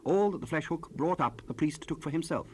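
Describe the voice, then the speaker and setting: A man narrating scripture in a solemn, measured, formal style for an audio drama. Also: fairly high pitch, animated, a little mellow, slight background noise.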